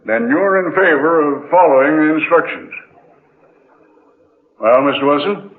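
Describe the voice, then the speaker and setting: An actor playing a troubled, suspicious sea captain in a halting, weighty old-time radio drama style. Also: male voice, a little high, expressive. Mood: doubtful, pondering. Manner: slow, halting, weighty delivery. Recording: muffled old radio recording.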